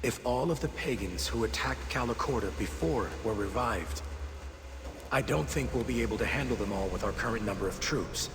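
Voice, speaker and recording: A man playing a steady, grave military commander in a video game cutscene, measured and authoritative. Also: mid-range voice, natural voice. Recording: long reverb.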